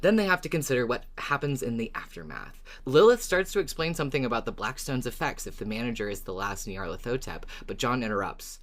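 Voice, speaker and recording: A podcast co-host with an expressive, wry way of talking through recaps and casual discussion. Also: mid-range voice, fast, hardly any pauses.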